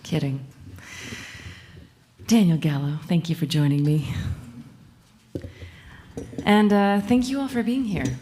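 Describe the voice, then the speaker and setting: A woman's voice: fairly low pitch, slow, pauses often, mellow, a little hiss or hum. A woman from Alaska, a musician introducing a song on a concert stage, speaking reflectively with many hesitations. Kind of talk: casual onstage talk.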